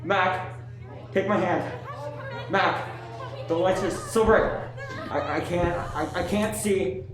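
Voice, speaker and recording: A woman's voice: on the low side, takes it slowly. Teen girl as panicked crew member, very echoey. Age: teenager. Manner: panicked, stammering. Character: terrified, pleading spaceship crew member. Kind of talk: radio play acting. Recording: very echoey, reverberant room.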